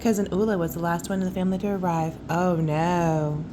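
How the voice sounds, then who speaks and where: A woman's voice: lowish voice, steady tone, brisk. Woman reading a storybook, noisy recording. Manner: folksy, cooing remarks on the pictures. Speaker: storyteller reading picture books for children. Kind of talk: bedtime read-aloud with casual asides.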